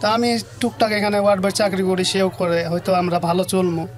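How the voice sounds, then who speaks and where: Man, Bangladeshi accent, high voice, rapid pace, colloquial storytelling, earnest, interview guest. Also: fairly even intonation, faint music behind. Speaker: guest telling his life story. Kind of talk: conversational personal storytelling.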